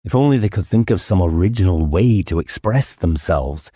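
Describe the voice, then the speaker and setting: A man's voice: low voice, expressive, moderate pace, dark tone, slightly rough, dry recording. A man narrating a novel dramatically as an audiobook reader, close to the microphone.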